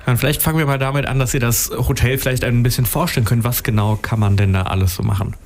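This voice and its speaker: A man hosts a radio interview, his voice sitting in a middle register. He keeps things moving with brisk, friendly questions for his guests. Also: mid-range voice, fairly even intonation, a little hiss or hum. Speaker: radio host.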